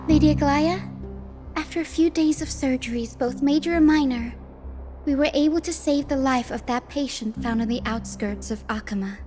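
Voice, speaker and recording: A woman playing a gentle, dutiful young healer in a video game, speaking politely and earnestly. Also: high voice, moderate pace, faint music behind, close to an everyday voice. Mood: earnest, concerned. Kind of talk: dramatic video game voice acting.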